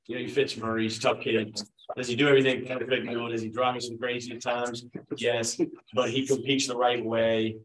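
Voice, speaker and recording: A man in his forties, a head basketball coach, answering questions at a postgame press conference in a relaxed, conversational way with many fillers, speaking into a table microphone. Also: mid-range voice, fairly quick, a little hiss or hum, rough recording. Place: press room at a team table, his children beside him.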